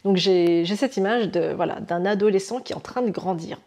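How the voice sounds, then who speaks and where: A French woman talks casually to her viewers about the month ahead, at a mid-range pitch. She often pauses on fillers and restarts her sentences, then reaches for lively images to make her point. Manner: hesitant, many fillers and restarts, expressive images. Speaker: astrology channel host. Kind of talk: casual explanatory monologue to camera.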